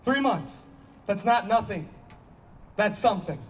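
A man plays an anguished, defensive man fighting the bottle in a play reading. His voice sits high and he hammers his phrases in agitation. It is picked up at a distance outdoors, with some background noise. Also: animated, a little slow. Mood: earnest, defensive. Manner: emphatic, repetitive, agitated. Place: small outdoor stage. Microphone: handheld microphone.

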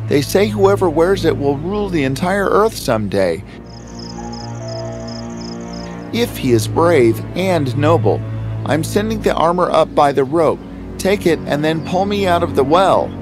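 Man playing earnest, animated young prince. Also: on the high side, moderate pace, close to an everyday voice. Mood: calling out. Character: earnest, kind-hearted young prince, loyal and brave. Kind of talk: children's fairy-tale cartoon acting. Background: prominent background music.

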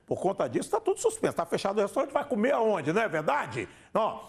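A Brazilian man anchors a news show from the studio. His voice sits high for a man and has a husky edge. He swings widely in pitch and talks to viewers in a colloquial, conversational style. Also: big pitch swings, fast talker. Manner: colloquial, punctuated with tag questions.

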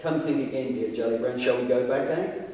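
A man takes the part of a courteous colonial gentleman, reluctant and full of doubt. His phrasing is formal, wordy and anxious, in an old-fashioned dramatic reading. He sounds far off in a reverberant hall. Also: mid-range voice, a little fast, lightly acted. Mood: foreboding. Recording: very echoey hall, far from mic.

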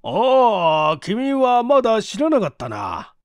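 A man's voice: sits high, wildly swooping pitch, takes it slowly, clean tone, no echo, voice pushed up, exaggerated voice. A man voicing a middle-aged, authoritative company president in a story reading, with a composed manner. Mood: explaining.

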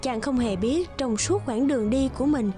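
A woman's voice: sits high, moderate pace, mellow, faint music behind. A woman giving scripted fairy-tale narration as the storyteller of a cartoon.

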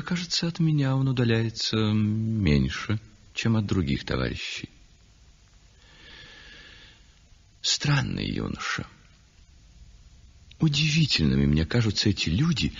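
An actor playing a self-satisfied, worldly, mercenary painter in a 1960s radio play, chatty and theatrical. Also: male voice, medium pitch, big pitch swings, moderate pace, vocal fry, husky, heavily acted. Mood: musing, condescending. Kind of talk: 1960s radio play acting, confiding monologue. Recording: dull 1960s radio recording, long reverb.

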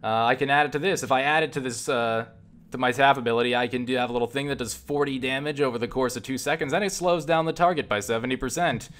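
A man giving casual, unscripted video game commentary, enthusiastic and sweary, often halting and repeating words as he rambles. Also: medium pitch, a bit bright. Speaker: video game reviewer and player.

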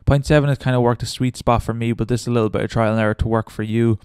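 A man presents a casual software tutorial. He talks briskly in a smooth, clean voice, explaining each step informally. The sound is close and dry. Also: medium pitch, fairly even intonation, no room sound. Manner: informal, instructional. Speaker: tutorial host.

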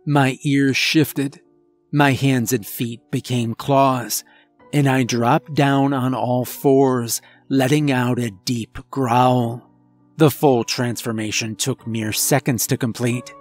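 A man voicing a remorseful werewolf husband and father confessing in a grave, halting, emotional horror story. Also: mid-range voice, steady tone, no echo. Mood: grim, intense. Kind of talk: dramatic first-person horror storytelling. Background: background music.

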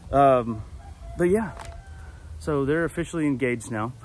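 A man's voice: medium pitch, a little slow, a little hiss or hum. A man, chatting casually to a phone in his backyard, rambling and correcting himself as he goes. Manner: rambling, self-correcting, easygoing. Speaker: vlogger. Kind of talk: casual walk-and-talk monologue. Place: backyard outdoors. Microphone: phone held at arm's length.

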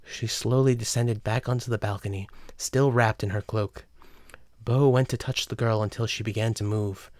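A man narrates a dramatic story from a script. His voice is fairly low and the recording is dry and close. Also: steady tone, normal speed, darkish tone, dry recording.

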